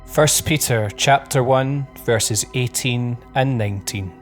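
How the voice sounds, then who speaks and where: Male scripture reader, medium pitch, formal delivery, faint music behind. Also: takes it slowly. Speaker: Bible reader.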